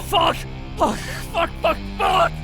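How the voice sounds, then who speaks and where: A man's voice: very high-pitched, huge pitch swings, slow pace, bright, forceful tone, faint music behind, voice pushed up, exaggerated voice, rough recording. A man voicing a furious, panicked man in a visual novel action scene. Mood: agonized.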